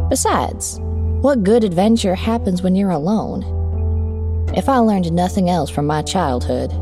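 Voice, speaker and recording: A woman narrating a scripted first-person story in a measured, wry storytelling manner. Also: low voice.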